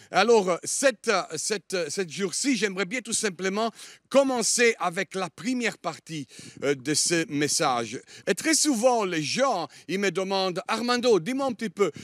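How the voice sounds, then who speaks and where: A man's voice: high voice, fairly bright tone, no room sound, clean studio sound. A middle-aged Italian man preaching a sermon in French, with an Italian accent touched by a Liège accent, often hesitating with "euh" as he searches for words. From Italy. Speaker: guest preacher.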